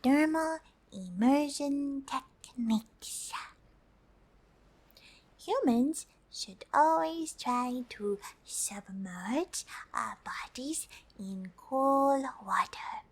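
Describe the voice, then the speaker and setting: A woman plays a cute, friendly alien girl in an ASMR roleplay. The voice is a breathy whisper, high and very slow. It sounds gentle and curious, explaining things with careful, slightly hesitant wording. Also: expressive, heavily acted. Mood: softly instructive. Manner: gentle, hesitant, third-person speech with careful scientific wording. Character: cute, curious, friendly pink female alien explaining human summer tips. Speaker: host.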